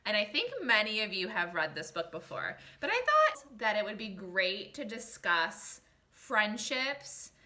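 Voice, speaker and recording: A woman reads a story aloud as a teacher would for young children. Her voice is bright and forward, with lots of melodic rise and fall. She addresses her listeners directly and puts questions to them in an expressive, animated way. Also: mid-range voice. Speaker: teacher reading a picture book aloud.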